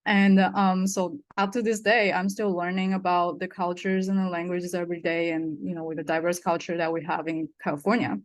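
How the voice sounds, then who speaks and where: A woman's voice: on the low side, no room sound. Woman, panelist, thoughtful, fillers, dry sound. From Taiwan. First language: Mandarin. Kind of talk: personal account on a webinar.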